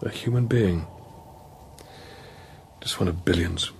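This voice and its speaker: A man in a radio drama playing a weary, guilt-ridden priest, anguished and searching, with an intense, halting, brooding delivery. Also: low voice, vocal fry, a little hiss or hum, full character voice. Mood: reflective, resolute.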